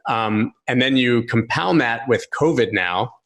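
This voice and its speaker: A man hosting a conversational interview, asking relaxed, curious questions. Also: mid-range voice, measured pace. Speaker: interview host.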